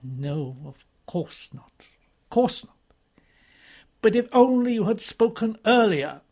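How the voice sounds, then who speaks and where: A woman's voice: on the high side, sing-song, a little slow, a little mellow, pitched above the performer's own voice. A woman voicing an earnest male police officer who pleads in an urgent, imploring way.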